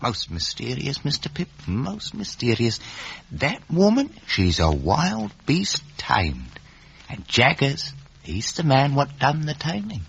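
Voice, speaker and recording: Gravelly gossipy clerk, wildly sing-song. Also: male voice, mid-range voice, wildly swooping pitch, slow pace, exaggerated voice. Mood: confiding, relishing. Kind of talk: 1950s radio drama acting. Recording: muffled, noisy vintage radio recording.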